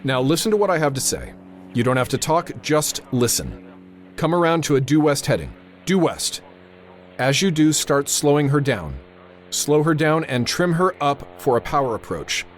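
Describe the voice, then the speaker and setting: An actor playing a tense, authoritative airfield controller in a radio drama, narrating and giving orders with clipped urgency. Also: male voice, on the high side, big pitch swings, normal speed, slight background noise. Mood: firm, commanding. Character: tense, commanding male airfield tower controller narrating a crisis.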